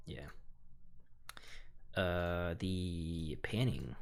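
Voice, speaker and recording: Laid-back young man with a lowish voice. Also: drawn-out words, vocal fry, slight background noise. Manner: relaxed and rambling, many fillers and hedges. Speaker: musician explaining his own song production. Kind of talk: casual studio walkthrough. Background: faint music.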